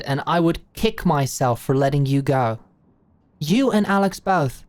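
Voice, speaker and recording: A woman's voice: low voice, no room sound. A woman playing a blunt, shrewd older British executive in an audio roleplay, talking in a brash, slangy, commanding way. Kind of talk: scripted audio roleplay monologue.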